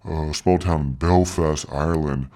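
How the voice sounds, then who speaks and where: Male actor as slangy, swaggering voice-over guy: extremely low, thick and dark, drawling and boastful, comic podcast guest. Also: fairly even intonation, normal speed. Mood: cool, boastful. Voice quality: thick, deep voice. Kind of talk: comic in-character interview.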